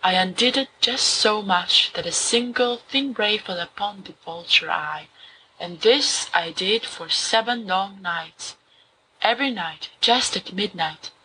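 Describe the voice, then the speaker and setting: A woman voicing a young male wizard student who reads a horror story aloud to his friends, slow and hushed at times. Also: mid-range voice, a little hiss or hum, low-quality recording. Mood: dramatic. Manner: slow, hushed dramatic reading. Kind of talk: audiobook fan fiction reading.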